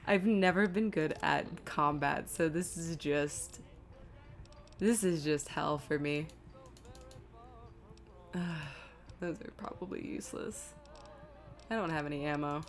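Low-pitched, slow-talking woman streaming games. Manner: exasperated, self-deprecating, muttering to herself. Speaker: video game streamer. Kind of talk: casual live game stream commentary.

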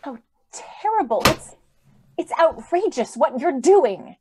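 Woman playing a high-voiced, earnest idealistic lady. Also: big pitch swings, slow, noisy background, full character voice. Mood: pleading, outraged. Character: idealistic, indignant young lady visitor. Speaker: actor. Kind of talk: old-time radio drama acting. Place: indoors on a video call.

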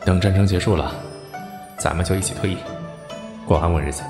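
An actor playing an earnest, loyal young army officer in a Chinese audio drama. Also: male voice, deep voice, a little fast, music in the background, close to an everyday voice. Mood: earnest, encouraging.

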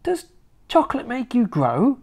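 A woman's voice: on the high side, huge pitch swings, a little slow, a little mellow, voice pushed up, exaggerated voice. A woman voicing an earnest eight-year-old boy in a children's storybook, plaintive, innocent and objecting. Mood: puzzled.

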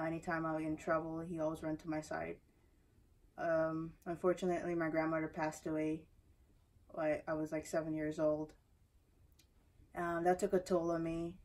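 A young woman with a Guyanese accent telling her life story to camera, soft-spoken and hesitant, with many fillers, recorded indoors from a few feet away. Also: deep voice, far from the mic, low-quality recording. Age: young adult. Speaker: athlete introducing herself. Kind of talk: personal story told to camera. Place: small indoor room before a banner.

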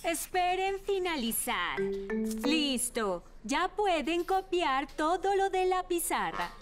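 Very high-voiced schoolteacher. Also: female voice, big pitch swings, slow, over music, full character voice. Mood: instructive. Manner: instructing. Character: female schoolteacher instructing her class. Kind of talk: Spanish-language cartoon dub.

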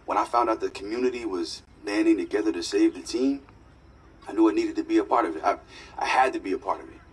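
A young football star in a game cutscene, lowish voice, humble n sincere, bit far from mic with music. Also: male voice, quick pace, breathy edge. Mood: earnest. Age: young adult. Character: sincere, grateful young pro quarterback. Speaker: quarterback character in a story mode. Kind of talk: naturalistic dramatic game acting.

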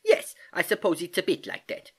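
A storybook narrator voicing an elderly, jovial uncle who eagerly and patiently explains science, with animated exclamations and hesitations. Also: mid-range voice, moderate pace, slightly rough, voice pushed up, full character voice. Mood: eager explaining. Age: older.

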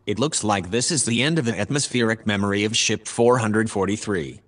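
A man playing a calm, formal artificial intelligence, reporting in a measured, dispassionate way in a sci-fi audio drama. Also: fairly low pitch. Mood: detached.

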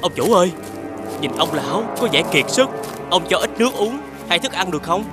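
An actor playing a kind-hearted, questioning, complaining male servant in an animated fairy tale. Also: high-pitched, a little fast. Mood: pleading. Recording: music behind the voice.

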